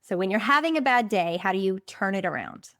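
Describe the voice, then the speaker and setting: A woman hosting a podcast, asking questions in a brisk, encouraging way. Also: mid-range voice, lively intonation, a bit bright, no room sound, clean studio sound. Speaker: podcast host. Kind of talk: interview questions.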